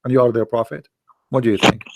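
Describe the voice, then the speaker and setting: A man whose first language is Arabic, debating on a live online call, hoarse from talking, pressing his point with rhetorical questions and repeated words. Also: medium pitch, steady tone, quick pace, noisy background. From Middle East. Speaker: debate host.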